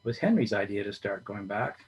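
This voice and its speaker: A man reading a short story aloud in a steady storytelling manner. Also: lowish voice, brisk, slightly rough. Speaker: reader.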